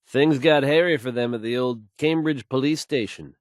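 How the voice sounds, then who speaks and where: A man's voice: medium pitch, normal speed, no room sound, studio quality, lightly acted. An actor playing a confident, easygoing radio newsman in a video game, suave and wry, with a polished, folksy delivery. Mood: wry, assured. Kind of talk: smooth scripted radio news delivery, video game voice acting.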